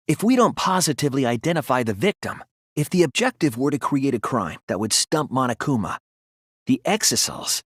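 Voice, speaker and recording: A man voicing an earnest young male detective in a video game, thoughtful and anguished but resolute, with deliberate, analytical phrasing. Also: medium pitch, a bit bright, slightly rough, no room sound, studio quality. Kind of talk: dramatic video game voice acting, deductive explanation.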